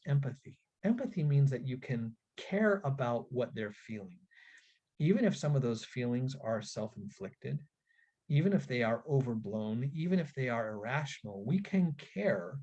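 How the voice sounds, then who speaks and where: A man giving an instructional webinar talk to parents in an earnest, deliberate way, stressing key phrases. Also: medium pitch, warm tone. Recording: dull, lo-fi webinar audio.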